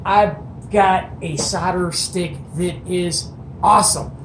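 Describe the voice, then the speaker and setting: An enthusiastic show-and-tell host talks casually in a medium-pitched voice. The delivery is folksy and colloquial, like chatting to viewers over a workbench. The room is echoey, with faint noise behind. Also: slow pace.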